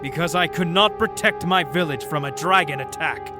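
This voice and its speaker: A man's voice: fairly high pitch, moderate pace, lightly acted. A man playing an earnest, vengeful Viking dragon hunter in a game fan dub, solemn and literal-minded. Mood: earnest, determined. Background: music.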